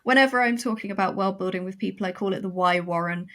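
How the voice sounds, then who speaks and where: Woman writer, medium pitch, emphatic punchy delivery. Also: quick pace. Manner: emphatic, animated, repeats for emphasis. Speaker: writer, podcast guest. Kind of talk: casual podcast chat.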